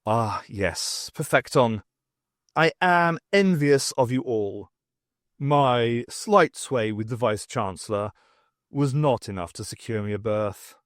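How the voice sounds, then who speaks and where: Male narrator as senior male don, courteous, formal, ornate phrasing, expressive pitch, audiobook character. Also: slow pace, dry recording, studio quality. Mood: proud, wistful.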